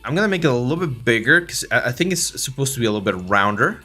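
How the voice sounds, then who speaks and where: A man's voice: medium pitch, quick pace. Mexican-accented guy in his 30s teaching 3d art on stream, lots of uh and like, faint music behind. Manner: relaxed, filler-heavy, many restarts. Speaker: 3D art instructor and livestream host. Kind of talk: casual livestream tutorial, reading chat.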